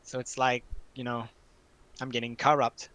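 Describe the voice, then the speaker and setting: A man from Quebec, a native French speaker with a Quebec French accent, chatting casually and haltingly, repeating words as he searches for phrasing. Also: mid-range voice, level tone, a bit bright, low-quality recording. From French-speaking Quebec, Canada. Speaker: study group participant. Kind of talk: casual group chat.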